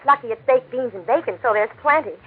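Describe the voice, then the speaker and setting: High-voiced excitable young American wife. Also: female voice, fast, slightly rough. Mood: excited, delighted. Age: young adult. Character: sweet, excitable young wife eager for a family Christmas. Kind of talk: 1940s radio acting. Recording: tinny, noisy 1940s radio recording.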